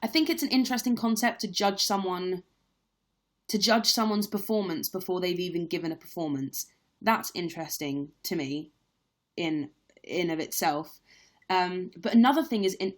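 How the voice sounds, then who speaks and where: A young woman, a stage actress, talks casually to her viewers in a live-stream Q&A. Her voice sits a little low, and she speaks candidly with plenty of ums and likes. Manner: chatty, candid, lots of fillers.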